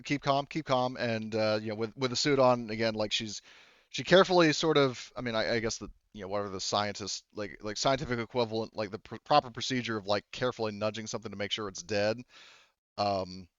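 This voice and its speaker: A man with a smooth voice narrates a tabletop game as game master. He talks casually and haltingly, feeling out each sentence as he goes, on a close, slightly dull-sounding mic. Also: lowish voice, fairly bright tone, no echo. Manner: halting, conversational, hedging.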